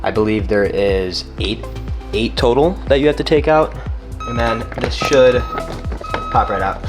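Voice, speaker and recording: A man's voice: medium pitch, a little slow, over music. A man hosting a DIY car tutorial, giving plain, informal step-by-step instructions in a casual how-to style.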